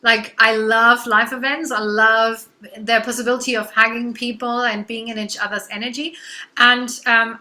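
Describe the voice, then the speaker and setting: German-accented woman in her thirties, guest coach on a video call; piercingly bright, slightly high voice, eager and filler-heavy. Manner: enthusiastic, many fillers like "um" and "you know". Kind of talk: casual video-call interview.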